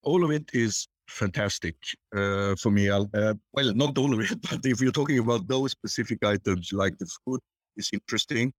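An older man, answering interview questions in a reflective, halting, conversational way. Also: lowish voice, no room sound. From born in Tanzania, grew up there and in Sweden. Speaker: podcast guest.

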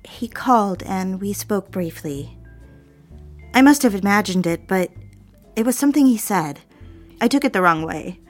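Woman acting anxious young secretary, polite, hesitant, confiding, mid-pitched, faint music, office drama. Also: animated, moderate pace, long pauses, lightly acted. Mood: troubled, confiding. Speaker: actor in an audio drama.

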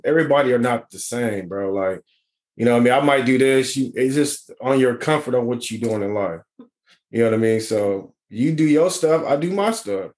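Brief: man, 40s, relaxed slangy talker, mid pitch, easy laughs. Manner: laid-back, slangy, laughs often. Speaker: panel guest. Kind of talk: casual group chat.

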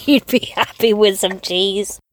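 A woman's voice: medium pitch, takes it slowly. Woman comic, 40s, first language Polish; bubbly, chatty road vlog over audible background noise. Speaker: touring comedian. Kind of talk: casual vlog and personal storytelling. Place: in a car and a service station shop.

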